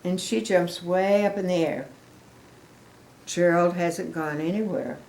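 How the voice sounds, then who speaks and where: A woman's voice: on the low side, fairly even intonation, unhurried, darkish tone. An older woman reading a picture book aloud to children, explaining things in simple phrases with little asides. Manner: simple, explanatory phrases addressed to young listeners. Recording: echoey room.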